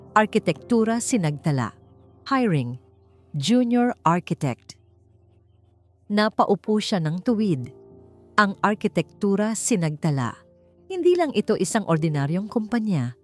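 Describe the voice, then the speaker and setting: A woman's voice: medium pitch, a little mellow. A woman with a Filipino accent reads a scripted romance story as a dramatic narrator. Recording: music under the voice. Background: background music.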